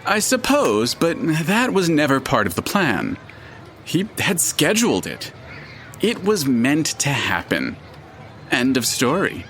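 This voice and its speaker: A man acting in an audio drama as a detached, formal narrator who speaks deliberately and matter-of-factly. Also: fairly high pitch, wildly swooping pitch, fairly bright tone, a little hiss or hum, heavily acted.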